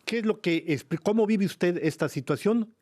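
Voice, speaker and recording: A man hosting a radio interview, speaking in a measured, formal way and leading with long framing questions. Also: sits high, lively intonation, a little mellow. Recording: radio broadcast studio.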